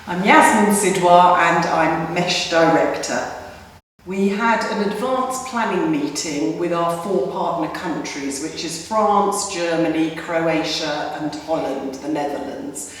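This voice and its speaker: A woman's voice: fairly low pitch, slow. Woman director speaking in an echoey hall. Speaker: festival director. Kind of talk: explaining to camera. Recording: echoey hall, distant mic.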